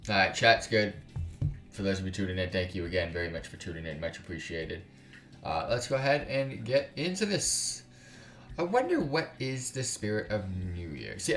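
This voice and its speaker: A man hosting a casual gaming live stream, chatting informally to viewers with slang and swearing. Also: low voice. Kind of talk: casual live-stream chat with game text read aloud. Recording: roomy room echo, music behind. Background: music.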